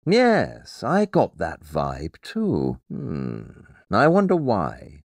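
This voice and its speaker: A man's voice: on the low side, huge pitch swings, drawn-out words, vocal fry, no room sound, exaggerated voice. A man playing a brilliant, eccentric detective in an audio drama, dry, matter-of-fact and condescending. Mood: dry, sardonic.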